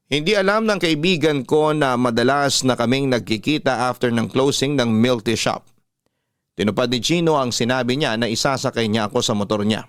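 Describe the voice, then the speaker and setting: A man tells a scripted personal story as a radio drama narrator. His voice sits at a medium pitch. The sound is dry and close. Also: clean tone, no echo. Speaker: radio drama host reading a listener's letter.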